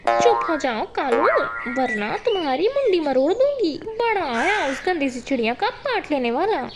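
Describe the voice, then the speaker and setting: Casting: woman as bossy, spiteful she-crow for kids' cartoon, very high voice, wildly swooping delivery, domineering. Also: very animated, moderate pace, over loud music. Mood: bossy, scheming. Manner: scolding, gloating, domineering. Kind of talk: animated children's moral story.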